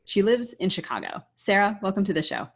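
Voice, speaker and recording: A woman's voice: medium pitch, sing-song, fairly quick, gravelly, a bit bright. A woman co-hosting a podcast, giving introductions and interview questions in a prepared, conversational style. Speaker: podcast co-host.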